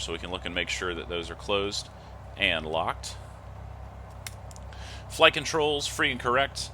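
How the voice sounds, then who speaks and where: A man's voice: on the low side, sharp tone, slight background noise. A man giving methodical, instructional step-by-step flight simulator commentary, reading off checklist items. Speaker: host of a flight simulator video series.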